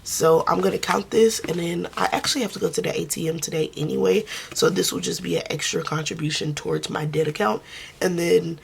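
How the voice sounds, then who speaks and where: A woman who is losing her voice speaks softly and casually, counting money aloud in a budgeting vlog. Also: fairly quick, gravelly, a little hiss or hum. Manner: soft, low-key, counting under her breath. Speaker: budgeting vlogger.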